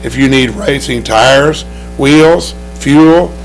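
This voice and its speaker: A man with a hoarse voice and an Oklahoma accent, a race track promoter talking in a TV studio interview, upbeat and rambling with many restarts. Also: medium pitch, takes it slowly, soft background music, rough recording.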